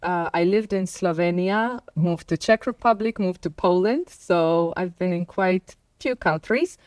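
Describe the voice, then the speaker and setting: A woman from Croatia and Bosnia, a guest on a company talk show, speaking in unhurried English with many fillers. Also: lowish voice, darkish tone, no room sound. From Croatia and Bosnia and Herzegovina. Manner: hesitant, many fillers, laughs between points. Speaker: podcast guest. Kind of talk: casual conversation.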